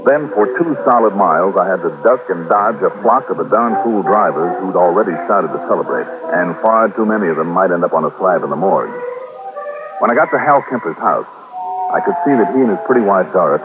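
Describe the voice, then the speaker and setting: Wry American radio sleuth: low, dry, conversational, on a tinny, noisy old broadcast. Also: male voice. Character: wry, self-possessed freelance male insurance investigator. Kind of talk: 1960s radio detective drama acting.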